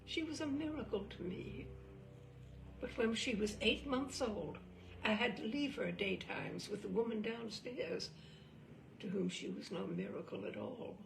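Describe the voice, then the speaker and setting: A woman reading a literary short story in the first person, measured and expressive, dwelling on phrases. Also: medium pitch, vocal fry, noisy background.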